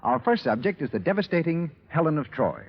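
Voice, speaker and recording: A muffled old radio recording features a male announcer with a husky voice. His delivery is formal and animated, in the scripted showman manner of a 1930s host. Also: on the high side, fairly quick.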